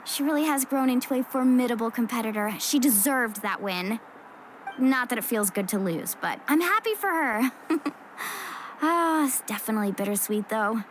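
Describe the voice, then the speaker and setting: A woman's voice: on the high side, animated, moderate pace, a little hiss or hum, lightly acted. A woman voicing a friendly, sincere young woman warrior in a video game, with music behind her. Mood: friendly, bittersweet.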